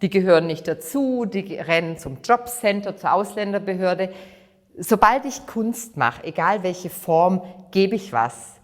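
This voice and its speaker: A woman's voice: lowish voice, animated, unhurried, in a large hall. A woman speaking earnestly and steadily in a documentary interview.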